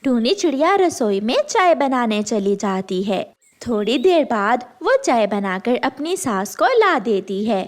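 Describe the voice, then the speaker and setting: A woman's voice: a little high, sing-song, unhurried. A woman narrating a children's cartoon story in a scripted storytelling style.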